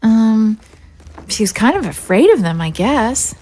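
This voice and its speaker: An actress playing a worried, hesitant young daughter from a rough settlement in an audio drama. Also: female voice, on the high side, very animated, takes it slowly, darkish tone, full character voice. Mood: hesitant, subdued.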